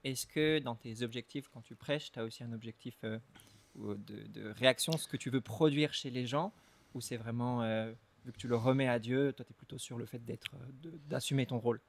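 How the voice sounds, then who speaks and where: A man's voice: mid-range voice, normal speed, creaky. A young man, a student co-host, asking follow-up questions casually. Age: young adult. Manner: casual, questioning, laughs easily.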